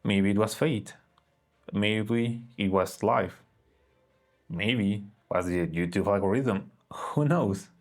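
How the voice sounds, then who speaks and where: A man's voice: fairly low pitch, slow. A man narrating a personal story for his video channel, earnest and reflective. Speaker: vlogger. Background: soft guitar music.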